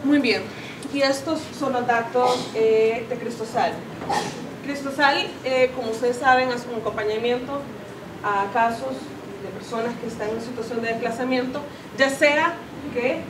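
A woman in her thirties with a Salvadoran Spanish accent, presenting figures to a seminar audience and often pausing to correct and rephrase herself. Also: on the high side, fairly even intonation. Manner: explanatory, self-correcting, many fillers. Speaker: conference speaker. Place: conference room with audience. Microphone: far camera, no mic seen. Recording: roomy, distant camera audio with background noise.

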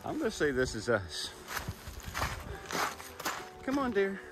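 A man's voice: sits high, huge pitch swings, slow pace, over music. A man in his thirties co-hosting a casual hiking vlog, upbeat and teasing, talking outdoors in winter woods into a handheld phone. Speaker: vlog co-host. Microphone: handheld phone camera.

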